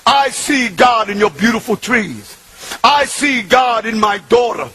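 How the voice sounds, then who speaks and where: Raspy, high-voiced New preacher. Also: male voice, animated, unhurried, rough recording. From Bronx, New York. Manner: shifts from loud shouting to hushed, tearful murmurs. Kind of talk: impassioned sermon.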